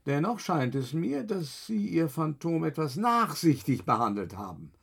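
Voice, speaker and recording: A man's voice: fairly high pitch, sing-song, unhurried, creaky, a bit bright, slightly rough, heavily acted. A man acting a mocking, self-assured opera house director in a German radio drama, both narrating and conversing. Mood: amused scorn. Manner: incredulous, mocking, swooping into scorn.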